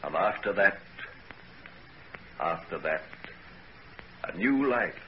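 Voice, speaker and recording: A man acting an earnest, secretive young gentleman in a 1940s radio drama. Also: sits low, expressive, slow pace, dull audio. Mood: earnest, assured. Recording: noisy old 1940s radio recording.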